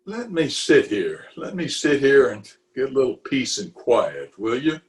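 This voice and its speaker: A man's voice: mid-range voice, sing-song, a little slow, echoey room. A man playing a pompous, easily exasperated middle-aged official in an old-time radio comedy, recorded at home over a video call. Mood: grumpy. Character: blustery, exasperated middle-aged male water commissioner, pompous and opinionated.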